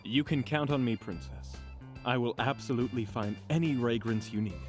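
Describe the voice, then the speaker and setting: A man's voice: medium pitch, moderate pace, natural voice. A man playing an earnest, courteous, slightly dim mage in a fantasy audio drama, speaking formally. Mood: earnest, stubborn. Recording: music under the voice.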